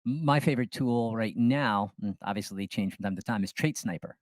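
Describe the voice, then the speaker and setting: A man's voice: on the low side, fairly quick, no room sound. A man hosting a podcast, chatting casually and enthusiastically in a conversational interview style. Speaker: podcast host.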